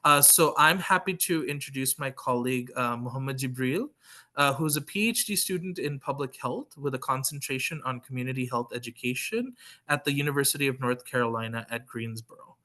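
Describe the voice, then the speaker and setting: A man's voice: medium pitch, a little fast, bright, forceful tone. A man moderating an online panel, introducing the guest speaker in a friendly, self-deprecating way.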